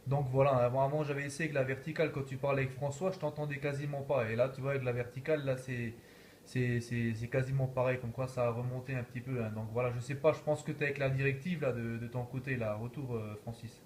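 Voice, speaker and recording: A man's voice: medium pitch, fairly even intonation, quick pace, a little mellow, slight background noise. A man talking casually as an amateur radio operator, repeating himself and peppering his talk with verbal tags. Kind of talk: casual radio contact chat.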